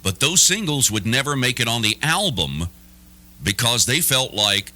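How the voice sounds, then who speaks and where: Animated, punchy male morning radio host. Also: medium pitch, normal speed. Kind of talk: lively radio banter. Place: radio studio. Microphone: close broadcast studio mic.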